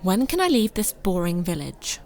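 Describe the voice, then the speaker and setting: A woman's voice: fairly low pitch, big pitch swings, normal speed, a little mellow. A woman playing a haughty, impatient young lady in an audio drama, demanding and exasperated.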